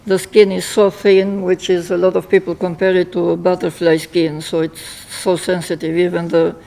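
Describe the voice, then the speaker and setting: A man in his thirties from Greece with a Greek accent, giving a personal testimony in a plain, halting way. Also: high voice, monotone, vocal fry, darkish tone, poor audio. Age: 30s. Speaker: patient speaker telling their own story. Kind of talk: personal testimony in a public talk.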